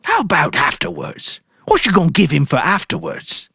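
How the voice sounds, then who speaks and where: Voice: medium pitch, wildly swooping pitch, moderate pace, vocal fry, pushed and bright, husky, no room sound, studio quality, voice pushed up, heavily acted. A narrator voicing a friendly male grocer with a folksy, pleasant manner.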